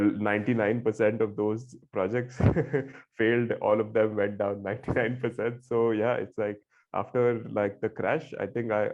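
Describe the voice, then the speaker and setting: A man from India, a guest in a casual remote interview, talking haltingly with many fillers and frequent laughs. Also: lowish voice, darkish tone. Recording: tinny phone-like line.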